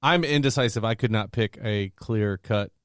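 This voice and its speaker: A man co-hosting a podcast in casual chat, low-key, his words trailing off quietly. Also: normal speed, clean tone, no room sound, clean studio sound.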